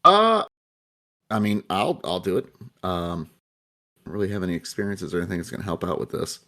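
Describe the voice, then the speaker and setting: A man's voice: low-pitched, expressive, fairly quick, pushed and bright. A man playing a tabletop game, chatting casually in and out of character.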